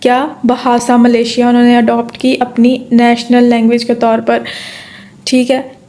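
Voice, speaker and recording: A woman tutor speaking in a rather high but very flat voice with a soft, dark tone. She explains step by step, repeats key points, and often asks herself a question before answering it. Her delivery stays even and unhurried, with frequent fillers and check-ins. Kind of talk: exam-prep lecture in Urdu-English mix.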